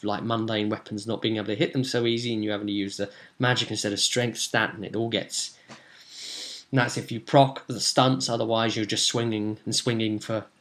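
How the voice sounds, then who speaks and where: A man, casually recounting a tabletop role-playing session in an animated, rambling way and slipping into bits of dialogue. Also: lowish voice. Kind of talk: casual rambling storytelling to camera. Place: small home room, indoors.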